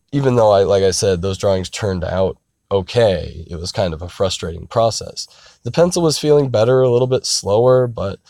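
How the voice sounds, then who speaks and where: A man in his thirties, hoarse and raspy from being sick, talking candidly and rambling earnestly from inside his parked car. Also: fairly low pitch, a little mellow, no echo. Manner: rambling, earnest, frequent restarts and "you know". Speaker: artist vlogger. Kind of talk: candid casual talk to camera.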